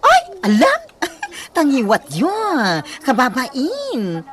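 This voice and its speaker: Swooping, bright-voiced Ilocano comedy nag. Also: female voice, high-pitched, wildly swooping pitch, extremely slow, full character voice. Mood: flustered, thrilled. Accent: Filipino Ilocano. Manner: exclamatory, swooping. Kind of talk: comedy radio drama acting.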